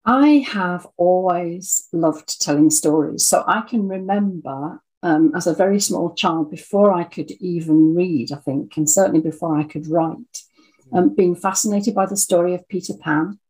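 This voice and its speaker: A woman's voice: fairly low pitch, a little slow, dark tone, breathy edge, low-quality recording. An older woman from the English Midlands, with an English accent, talking conversationally as an interview guest and telling stories. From Birmingham, English Midlands. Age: 60s or older. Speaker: interview guest, audio drama writer.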